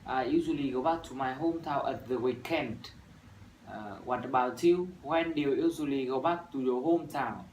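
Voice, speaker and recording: A twenty-one-year-old university student from Vietnam with a Vietnamese accent, reciting an English practice dialogue in a measured, rehearsed way with small fillers. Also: male voice, medium pitch, a little mellow. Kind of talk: scripted classroom dialogue practice. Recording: echoey room from far off, faint noise.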